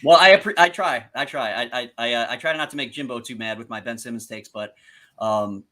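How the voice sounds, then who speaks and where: A man's voice: mid-range voice, a little fast, sharp tone. A young man hosting a live stream, chatting enthusiastically and praising his guest. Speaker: stream host. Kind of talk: casual live stream chat.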